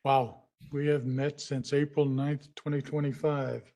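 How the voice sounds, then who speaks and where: A man's voice: medium pitch, little pitch movement, a little slow, mellow. A man serving as vice chair, running a city commission meeting in a plain, folksy way with many fillers. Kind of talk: public meeting procedure.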